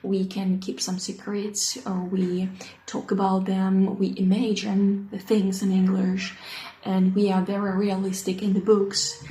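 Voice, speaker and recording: A woman in her thirties, a native Russian speaker with a Russian accent, introducing herself as an English teacher and pausing often with ums and uhs as she searches for words. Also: mid-range voice, monotone, warm tone. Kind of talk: unscripted self-introduction. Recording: echoey room.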